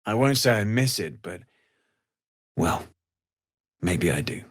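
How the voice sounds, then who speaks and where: An actor playing a kindly, earnest young blacksmith in a fantasy video game, with a husky, breathy voice and an understated manner. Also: male voice, on the low side, lively intonation, takes it slowly, heavily acted. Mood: friendly. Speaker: blacksmith and trader. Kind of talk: video game character dialogue.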